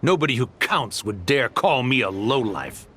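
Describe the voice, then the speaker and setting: A man's voice: medium pitch, sing-song, normal speed, bright, forceful tone. A man playing a smug, greedy swindler turned socialite in a video game, with a taunting, theatrical delivery. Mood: haughty.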